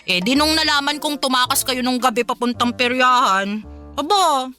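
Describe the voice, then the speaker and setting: A woman's voice: sits high, normal speed, bright, forceful tone. A woman playing a tipsy, playful and jealous young woman in a radio drama, with an exclamatory, teasing delivery. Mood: playful confessing. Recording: dry studio, faint music behind.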